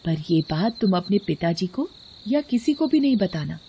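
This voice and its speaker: A woman's voice: mid-range voice, very animated, moderate pace, dark tone, muffled recording. Woman as a tender, earnest mother queen. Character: anxious, devoted ailing queen and loving mother. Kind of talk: Hindi cartoon fairy-tale dialogue. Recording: clear background noise.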